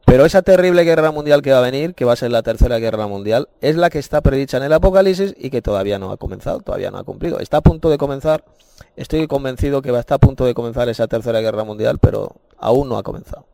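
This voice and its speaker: A man with a Spain Spanish accent hosting an online Bible chat room, talking in an unhurried way, often repeating his phrases and calling listeners brothers. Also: mid-range voice, animated, vocal fry, mellow, no echo. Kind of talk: casual preaching and closing remarks.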